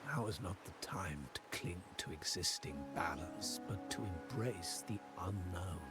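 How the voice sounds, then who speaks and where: An actor playing a composed, philosophical elderly gentleman in a video game, speaking in a measured, formal, contemplative way. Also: sits low. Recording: music behind the voice. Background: loud game music.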